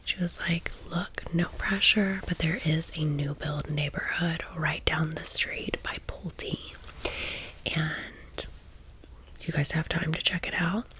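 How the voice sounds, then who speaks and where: A woman's voice: sits low, steady tone, takes it slowly, fairly bright tone, low-quality recording. A woman in her thirties whispering a casual, chatty, gushing story in an ASMR style. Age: 30s. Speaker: ASMR vlogger.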